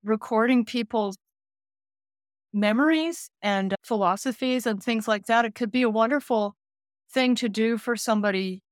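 A woman in her late sixties, a care manager and counselor, speaking gently and thoughtfully in an interview, often pausing to find her words. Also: a little high, no echo, studio quality. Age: 60s. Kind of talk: reflective interview conversation.

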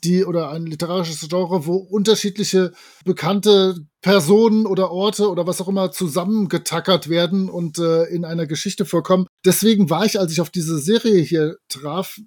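Male podcast guest with a distinctly high voice, casual and jokey conversational delivery. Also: fairly even intonation, normal speed, a little mellow, slightly rough. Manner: playful, jokey.

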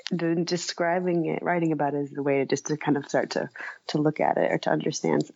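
Female interview guest, writer. Deep-set, gravelly fry, hesitant and modest conversational delivery, dull close recording. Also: steady tone, fast talker, darkish tone, no room sound. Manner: tentative, self-deprecating, searching for words.

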